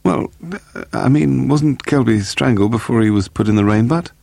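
Dazed publisher, played by a male narrator, piping higher voice, hesitant, mystery audiobook. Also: normal speed, vocal fry, a little mellow, no echo.

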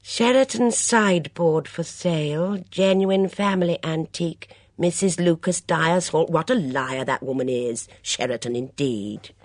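A woman's voice: lowish voice, measured pace, few pauses, dry recording, studio quality, voice pushed up. A woman voicing a chattering, fussy middle-aged mother who prattles with disapproving asides. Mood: prattling.

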